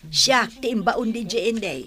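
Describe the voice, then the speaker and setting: A woman's voice: fairly high pitch, moderate pace, fairly bright tone, natural voice. A woman playing a plain-spoken, complaining older mother in an audio drama.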